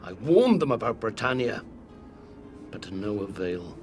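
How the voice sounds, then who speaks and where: Older Roman general, lowish voice, commanding, solemn, music behind, video game cutscene. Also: male voice, big pitch swings, unhurried, darkish tone. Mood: grave, urgent. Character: grave older Roman general, resolute, rallying a soldier. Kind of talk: cinematic video game acting.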